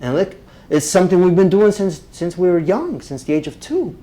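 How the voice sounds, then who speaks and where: A man from Romania, teaching a live public speaking workshop, energetic and often checking in with the group. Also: high voice, brisk, rough recording. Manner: energetic, checks in with "right?" constantly.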